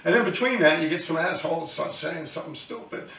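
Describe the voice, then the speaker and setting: A man's voice: a little high, fast, gravelly, a bit bright, roomy sound, far from the mic, a little hiss or hum. A man, talking bluntly and profanely to his viewers in a rambling monologue. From New York City. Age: 50s. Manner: blunt, profane, talks in loose run-on asides. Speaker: YouTube channel host, graffiti writer.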